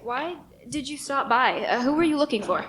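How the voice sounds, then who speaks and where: A woman playing a meek, sympathetic, easily flustered station worker in an audio drama, halting in manner. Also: sits high, expressive, fairly bright tone. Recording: clear background noise, room ambience.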